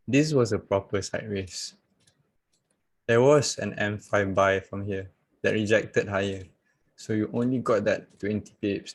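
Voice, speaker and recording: A man teaching trading on a live video call, chatty and relaxed, laughing and talking straight to his viewers. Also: fairly low pitch, husky. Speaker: trading coach. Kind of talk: casual live-stream trading lesson.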